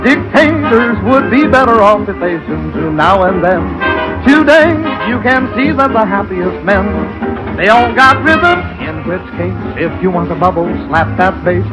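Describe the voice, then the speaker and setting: A man's voice: high voice, sing-song, unhurried, loud music behind the voice, poor audio. A man singing swing in 1930s style on an old radio broadcast.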